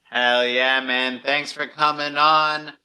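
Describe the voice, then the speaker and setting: Bright, forceful male podcast host. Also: a little high, level tone, slow. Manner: laid-back slangy, upbeat. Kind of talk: casual chat.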